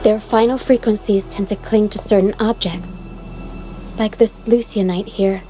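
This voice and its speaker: An actress voicing a gentle, solemn young woman guide in a video game, speaking in a measured, earnest way. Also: medium pitch, steady tone, lightly acted. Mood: solemn, reflective. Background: music.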